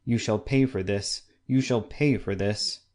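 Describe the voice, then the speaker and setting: Casting: woman voicing a deep, menacing old hunchback man, slow sneering threats, audiobook. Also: darkish tone. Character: ugly old humpbacked man, snarling and menacing. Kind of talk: audiobook reading.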